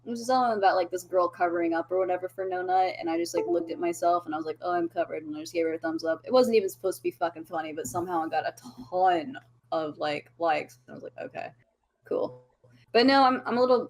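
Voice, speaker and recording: A woman's voice: lowish voice, animated, a little mellow. A woman in her twenties, a podcast guest calling in remotely, talking casually and swearing freely as she rattles off stories. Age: 20s. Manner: chatty, swears freely, fast storytelling. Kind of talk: casual chat. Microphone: remote voice call.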